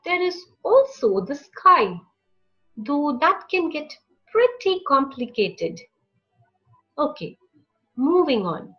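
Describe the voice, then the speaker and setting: A high-voiced woman reads a storybook aloud to young children at a slow, unhurried pace. Her intonation swings expressively from phrase to phrase. The recording is slightly dull, with music playing behind her. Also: sing-song. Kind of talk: read-aloud picture book narration for young children.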